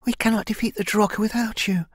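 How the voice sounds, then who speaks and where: A man voicing a young woman warrior, earnest and anxious but determined, with a breathy delivery in an audiobook. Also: sits high, steady tone, fast, dry recording, studio quality, voice pushed up. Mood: distressed.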